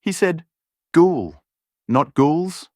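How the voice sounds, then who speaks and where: Man voicing an uneasy young wolf, pitched up. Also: animated, very slow, a little mellow, dry recording, clean studio sound. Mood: uneasy, confiding.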